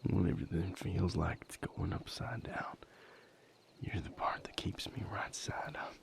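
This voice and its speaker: A man playing an intense, brooding vampire lover in an intimate audio roleplay, speaking in a gravelly, breathy near-whisper. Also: deep voice, flat delivery, full character voice. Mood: tender, wound up. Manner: slow, intimate, emotionally intense.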